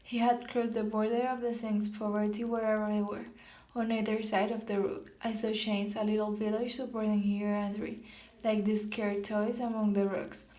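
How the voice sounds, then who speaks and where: A woman's voice: mid-range voice, a little mellow, roomy sound, rough recording. A woman reading a novel passage aloud for a class task, often stopping to restart and correct words. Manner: halting, self-correcting reading. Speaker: student reader.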